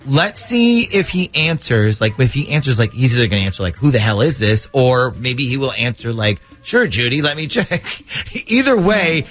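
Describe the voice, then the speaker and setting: Animated male morning radio host. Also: mid-range voice, normal speed, hardly any pauses, soft background music. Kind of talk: lively radio banter with a caller.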